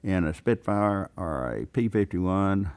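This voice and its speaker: Deep-voiced old man. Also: lively intonation, slow, darkish tone, smooth. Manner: plainspoken, folksy. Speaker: veteran warbird pilot recalling his career. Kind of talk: unscripted storytelling interview.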